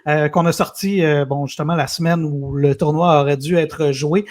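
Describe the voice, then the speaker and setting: A man with a Québécois French accent, co-hosting a casual podcast chat, talking in a halting, chatty way. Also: a little high, fairly even intonation. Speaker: podcast co-host.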